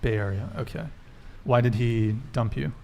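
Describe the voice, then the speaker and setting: A man hosting a casual panel talk show, asking quick follow-up questions and repeating answers back. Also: mid-range voice, steady tone, vocal fry, dark tone.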